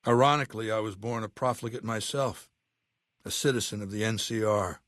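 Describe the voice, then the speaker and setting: An actor playing an imperious, cerebral middle-aged warlord in a video game, speaking with deliberate, disdainful authority. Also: male voice, lowish voice, fairly bright tone, no room sound, studio quality, natural voice. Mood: reflective, wry. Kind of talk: video game character dialogue.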